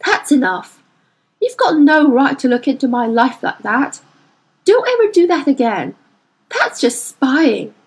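A woman's voice: high-pitched, sing-song, measured pace, pitched above the performer's own voice, rough recording. A woman voicing a wary young boy who talks in a strained, urgent way in an audiobook. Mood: angry. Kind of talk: audiobook character voice.

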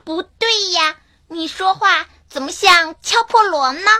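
Very high, bright little girl. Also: measured pace, heavily acted. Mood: suspicious. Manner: questioning, childlike. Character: clever, wary little granddaughter, young girl. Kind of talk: children's storybook character acting.

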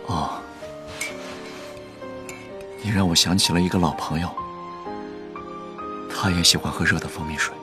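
A man acting in a radio drama as a composed, considerate young gentleman from the upper city, speaking in a measured, concerned way. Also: deep voice, steady tone. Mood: calm, wistful. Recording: prominent music behind the voice.

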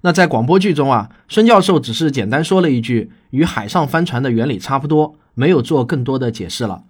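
A man's voice: medium pitch, lively intonation, a little fast, breathy edge. A man hosting a science explainer podcast in a conversational but prepared way, teaching emphatically and putting rhetorical questions to his listeners. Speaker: host and science fiction author answering listener questions.

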